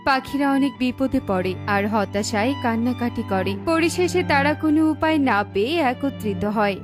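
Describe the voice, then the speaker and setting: A woman's voice: high voice, expressive, normal speed, music in the background. A woman reading scripted narration for a children's cartoon story.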